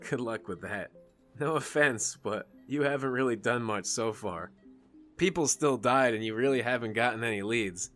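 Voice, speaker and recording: A man's voice: mid-range voice, normal speed, a bit bright, soft background music, natural voice. A man playing a bitter, stubborn, sarcastic injured teenage boy in an audio drama, sullen and grumbling. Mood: sceptical.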